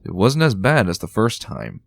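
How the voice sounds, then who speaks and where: A man giving a dramatic, expressive reading of a fan story as narrator. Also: on the low side, normal speed, creaky, noisy background. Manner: expressive, tense and theatrical. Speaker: fan reader.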